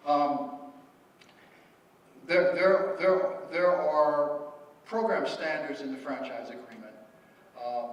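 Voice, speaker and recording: Man speaking in a big echoey hall. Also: a little high. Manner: repeats words, halting restarts. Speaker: meeting presenter. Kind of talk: public meeting talk. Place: large echoey meeting hall. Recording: echoey hall audio from far off, faint noise.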